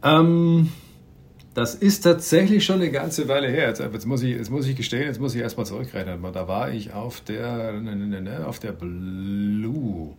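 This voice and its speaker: A middle-aged man from Austria, a ship captain, chatting in an interview while searching for words with many pauses and restarts. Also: mid-range voice. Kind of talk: casual interview answers.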